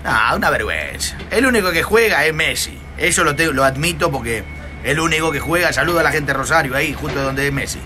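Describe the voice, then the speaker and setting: A man's voice: fairly high pitch, expressive, quick pace, noisy background. A man in his forties with an Argentine Spanish accent, a pastor chatting on a phone livestream, jokey and exclamatory, tagging lines with "eh". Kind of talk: casual livestream chat. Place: outdoor terrace in hot sun. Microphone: phone at arm's length.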